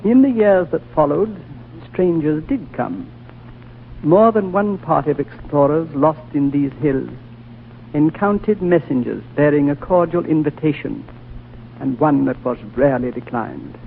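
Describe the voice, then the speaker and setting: A very old, gentle holy man with a fairly high voice, telling things slowly and solemnly. It is vintage radio drama, with a thin, band-limited sound and some background noise. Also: vocal fry. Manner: measured, solemn, deliberate storytelling. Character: ancient, wise, gentle male High Lama. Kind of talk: 1940s radio drama acting. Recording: tinny, noisy old 1940s radio recording.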